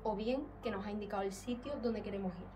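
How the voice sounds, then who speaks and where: A woman's voice: mid-range voice, steady tone, fast. A woman with a Spanish accent from Spain explaining a paranormal investigation to viewers, unscripted. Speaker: paranormal investigator presenting to camera. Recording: distant mic with loud music behind.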